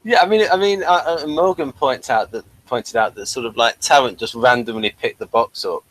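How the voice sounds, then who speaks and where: A man co-hosting a TV review in casual chat, halting and repeating his words. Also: medium pitch, fairly bright tone, poor audio. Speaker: co-host of a TV review show.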